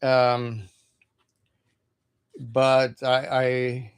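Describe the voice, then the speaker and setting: A man from Cuba, reading tarot cards in a halting, casual livestream chat and often repeating his words. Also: medium pitch, completely flat delivery, smooth. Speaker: tarot reader.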